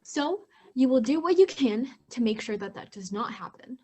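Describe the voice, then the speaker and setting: A woman's voice: fairly high pitch, animated, moderate pace, darkish tone, slight background noise, natural voice. A woman playing a bossy, jolly married hostess and mother in an amateur radio play. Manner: commanding, matchmaking.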